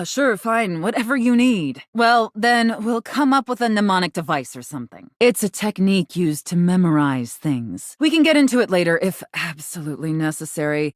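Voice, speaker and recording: A woman's voice: lowish voice, no room sound, studio quality. A woman voicing a haughty, teasing dominatrix in a video game, sultry and condescending in manner. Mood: exasperated. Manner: haughty, sultry, condescending, drawn-out deliberate phrasing. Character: imperious, teasing dominatrix, a living safe in human guise. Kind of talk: video game character acting.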